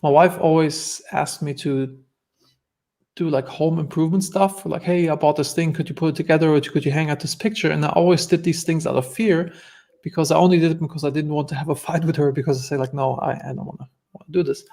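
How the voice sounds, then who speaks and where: Gravelly man with a German accent. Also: fairly high pitch, fairly even intonation, quick pace, warm tone. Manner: earnest, reflective storytelling. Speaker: guest entrepreneur. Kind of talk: casual interview conversation.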